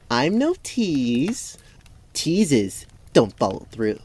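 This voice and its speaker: A woman's voice: a bass voice, sing-song, very slow, mellow, breathy edge, exaggerated voice. A woman playing a jaded, flirtatious fantasy seductress with a sultry, teasing drawl in an audio drama.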